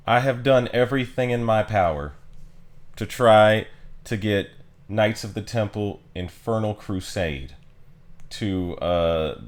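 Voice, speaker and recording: A man chatting casually as a game streamer, informal and halting as he thinks aloud. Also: on the low side. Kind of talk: casual livestream chat.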